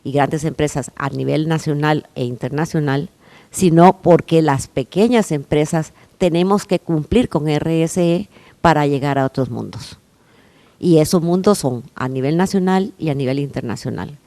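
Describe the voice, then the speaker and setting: A woman's voice: low-pitched, normal speed, mellow. A woman speaking emphatically and persuasively as a small-business panelist.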